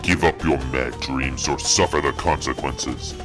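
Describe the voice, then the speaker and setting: Give this ancient guardian an extremely deep voice. He is solemn, imposing and scornful, a stern warrior sentinel in a fantasy cartoon, with music clearly playing behind him. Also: a little slow. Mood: stern contempt. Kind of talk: animated fan-dub acting.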